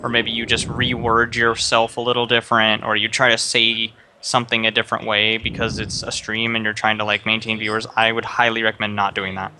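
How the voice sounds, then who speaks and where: A man's voice: on the low side, monotone, brisk, hardly any pauses, sharp tone, slight background noise. A young man streaming live, casually giving another streamer rambling advice with lots of fillers. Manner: rambling, many fillers and restarts, advising.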